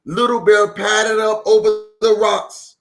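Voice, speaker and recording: A man hosting storytime for young children, reading and chatting in a playful, encouraging, deliberate way. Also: high voice, little pitch movement, over music. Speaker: children's storytime host, pastor. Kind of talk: animated picture-book reading and chat for young children.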